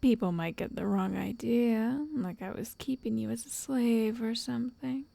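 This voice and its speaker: A lovestruck yandere witch played by a woman murmurs softly to the listener in an ASMR roleplay. Her voice is fairly high yet mellow, with creaky edges, and she sounds tender, coaxing and quietly controlling. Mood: musing, smug. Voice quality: breathy, soft, often whispering. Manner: slow, tender, condescending endearments.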